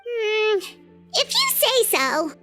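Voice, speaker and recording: A woman voicing a small, chatty, opinionated childlike fairy companion in a video game, with a squeaky voice. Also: wildly swooping pitch, slow, over music, heavily acted. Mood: doubtful. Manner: speaks of herself in the third person.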